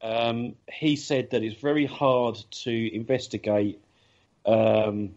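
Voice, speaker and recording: A man's voice: medium pitch, little pitch movement, slow pace, darkish tone. A man hosting a casual radio chat show, talking with many ums and restarts. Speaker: podcast host. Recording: dull lo-fi audio.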